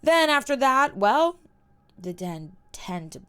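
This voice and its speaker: A woman voicing a cold, taunting young male wizard in a story reading, speaking with quiet, measured menace. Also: high-pitched, big pitch swings, smooth, pitched above the performer's own voice, heavily acted. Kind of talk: reading a fan story aloud.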